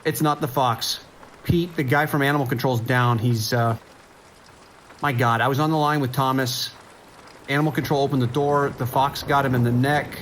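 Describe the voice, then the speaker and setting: A man's voice: mid-range voice, fairly even intonation, normal speed, fairly bright tone, close to an everyday voice. A man playing an anxious police dispatcher in an audio drama, urgent and clipped, holding the line. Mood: shaken. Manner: urgent, clipped radio procedure, hesitant when shaken. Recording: rain and ambient noise behind.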